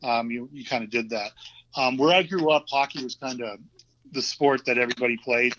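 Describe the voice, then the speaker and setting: A man's voice: mid-range voice, brisk. Laid-back Vermont man, muffled audio. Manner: relaxed, hesitant, many ums and restarts. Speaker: guest, former hockey player. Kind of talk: casual interview chat. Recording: dull lo-fi audio.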